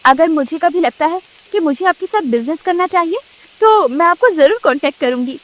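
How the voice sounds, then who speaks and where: A woman voicing a confident young woman who sells samosas and speaks calmly about her work in a storybook cartoon. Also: very high voice, brisk, background noise, voice pushed up. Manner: calm, self-assured.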